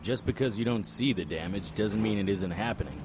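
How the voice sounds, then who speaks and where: A man's voice: on the low side, a little fast, close to an everyday voice. An actor playing a grave, matter-of-fact male paranormal investigator in an audio drama. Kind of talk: audio drama acting and narration. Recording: road noise behind voice. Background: car engine ambience.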